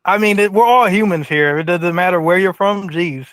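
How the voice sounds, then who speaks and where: A man calls in to a livestream with a notably high-pitched voice, taunting the host and dodging questions. His voice sounds dry and close. He keeps an evasive, needling tone. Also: moderate pace, a bit bright, smooth, dry recording, low-quality recording. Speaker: caller. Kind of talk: trolling phone-in.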